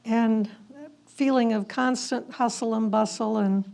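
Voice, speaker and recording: A woman's voice: fairly high pitch, fairly even intonation, measured pace, darkish tone. A woman giving an informal historical narration as a presenter, speaking a little hesitantly. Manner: hesitant, with frequent throat clearing. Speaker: presenter commenting on historical letters.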